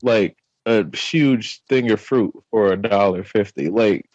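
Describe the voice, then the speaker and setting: A man talking casually as a podcast guest, playful and relaxed. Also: takes it slowly, no room sound, lo-fi sound. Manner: playful, jumps into a high hype voice at times. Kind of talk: casual conversation.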